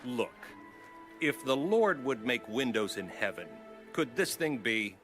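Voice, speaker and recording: An actor playing a scornful, doubting royal officer in an audio Bible drama. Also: male voice, medium pitch, very animated, a little slow, music in the background.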